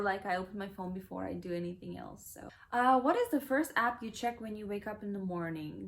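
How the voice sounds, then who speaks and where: A young woman whose first languages are Kazakh and Russian, reading questions aloud and answering them in a relaxed, rambling way with plenty of fillers. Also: mid-range voice. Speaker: vlogger. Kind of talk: casual Q&A vlog.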